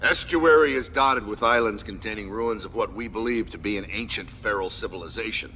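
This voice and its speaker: An actor playing a stern military commander in a video game, briefing troops in a clipped, formal, authoritative manner. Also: male voice, lowish voice, fairly quick, pushed and bright, slight background noise. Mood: commanding.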